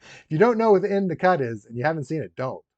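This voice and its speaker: Guy on a toy collector stream, kinda higher voice, rambly and jokey, chatting w viewers, echoey room. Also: big pitch swings, fast talker, darkish tone. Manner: rambling, joking asides with dry teasing. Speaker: live stream host, toy collector unboxing a figure. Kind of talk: casual live-stream chat, reacting to viewers. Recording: roomy echo, dull lo-fi sound.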